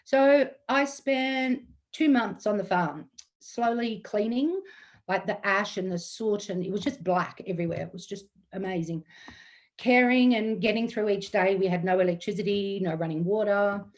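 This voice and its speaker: A woman in her fifties from Australia, telling a personal story in a talk, earnest and close to tears, slightly croaky. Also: mid-range voice, normal speed, low-quality recording. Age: 50s. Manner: earnest and emotional, with frequent ums. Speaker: guest storyteller.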